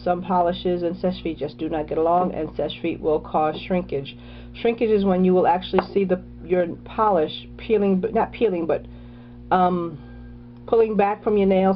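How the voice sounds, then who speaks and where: A woman's voice: on the low side, dull audio. A woman casually talking through her nail care products, chatty, with many fillers and restarts. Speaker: beauty vlogger.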